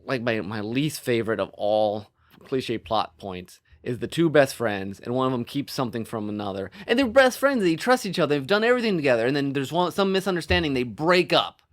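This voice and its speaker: A man talking casually and emphatically as a podcast co-host, in an informal, animated discussion. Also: mid-range voice, brisk. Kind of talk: casual conversational discussion of film and storytelling.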